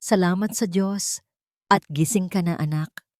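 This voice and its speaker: A woman's voice: lowish voice, unhurried, darkish tone, no echo, studio quality, natural voice. A woman with a Filipino accent voicing a caring, devout older motherly woman in an audiobook drama, tearful and nurturing. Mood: tearful relief.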